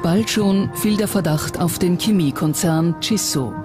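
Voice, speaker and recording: A documentary narrator reading a script in a measured, serious manner. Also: mid-range voice. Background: music under the voice.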